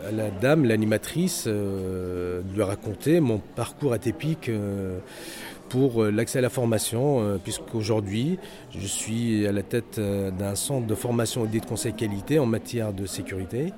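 A man's voice: on the low side, moderate pace. A man with a North African accent, head of a security training center, giving an earnest, motivational interview full of hesitations and restarts. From Algeria. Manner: hesitant, many fillers and restarts. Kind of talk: interview testimony. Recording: noisy crowd around the speaker. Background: crowd chatter.

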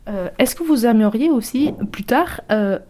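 A woman's voice: fairly high pitch, lively intonation, moderate pace, darkish tone, slight background noise. A woman interviewing French learners, asking simple questions patiently and encouragingly.

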